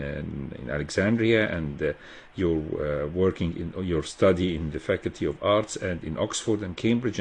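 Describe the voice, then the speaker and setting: Radio host guy, very low husky voice, formal and halting, lots of uhs. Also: a little mellow. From Egypt. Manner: formal, halting, many fillers. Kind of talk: radio interview questions. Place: radio studio.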